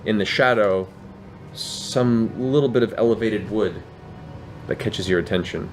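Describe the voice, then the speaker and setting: A man's voice: lowish voice, measured pace. A man acting as game master, improvising descriptive, theatrical narration for a tabletop game. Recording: clearly noisy room ambience.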